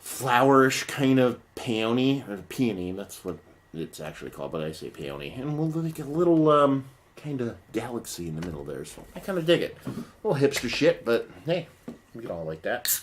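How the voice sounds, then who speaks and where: A man casually reviewing a beer in a rambling, profane way, his voice partly lost and sitting lower than usual. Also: sing-song, normal speed, a little hiss or hum.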